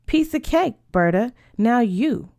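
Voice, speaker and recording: A woman reading the part of a playful, bold teenage girl in a storybook, teasing and animated. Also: fairly high pitch, slow, no echo, clean studio sound, voice pushed up.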